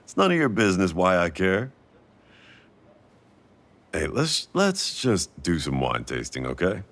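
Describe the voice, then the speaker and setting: A man playing a warm, teasing restaurant owner with a secret crush in a casual, intimate boyfriend audio roleplay. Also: sits low, wildly swooping pitch, measured pace, heavily acted. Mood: playful, defensive.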